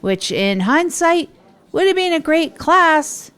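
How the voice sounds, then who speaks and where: A woman's voice: very high-pitched, very animated, slow pace, a bit bright, full character voice. A woman playing a hapless amateur comedian, telling stories in a rambling, earnest, self-deprecating way for an audio drama. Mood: eager, nervous performing. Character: Tog, hapless adult storyteller and failed stand-up comedian. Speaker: narrator and radio host. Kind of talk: improvised comic monologue in an audio drama.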